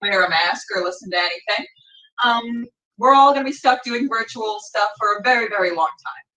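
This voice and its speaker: A woman's voice: medium pitch, fairly quick, sharp tone, recorded from a distance, low-quality recording. A woman hosting an interview, chatting informally and effusively with a joking touch. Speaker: podcast host and interviewer. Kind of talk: casual interview chat.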